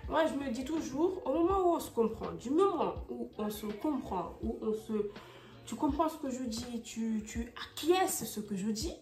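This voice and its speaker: A woman's voice: fairly high pitch, lively intonation, moderate pace, a little mellow. A woman vlogging casually while she tidies her bedroom. She speaks French as her second language, chats with many fillers and restarts, and slips in English phrases. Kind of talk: casual chat while tidying. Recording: roomy and distant, with faint music.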